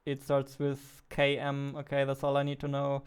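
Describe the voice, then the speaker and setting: A man in his twenties, a non-native English speaker, thinking aloud in casual, unhurried live coding commentary. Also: medium pitch, completely flat delivery, smooth. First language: not English. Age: 20s. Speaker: indie game developer, streamer. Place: small indoor room at a computer.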